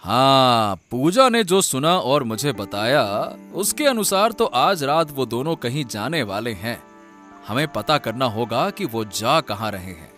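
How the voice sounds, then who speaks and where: A man's voice: medium pitch, sing-song, unhurried, fairly bright tone. A man voicing a confident, reassuring court investigator in a cartoon story. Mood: plotting. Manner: reassuring, urging. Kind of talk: animated Hindi cartoon story acting. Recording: music under the voice.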